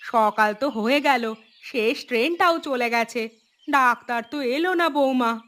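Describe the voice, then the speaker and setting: A woman's voice: a little high, a little slow, a bit bright. Woman acting a plaintive elderly village mother-in-law. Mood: anxious. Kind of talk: Bengali moral-tale cartoon dubbing.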